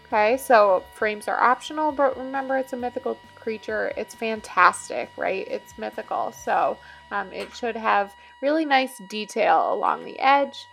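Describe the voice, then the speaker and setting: A woman's voice: on the high side, normal speed, gravelly, fairly bright tone, soft background music, rough recording. A woman working as an art teacher, casually explaining a drawing demonstration step by step as she works.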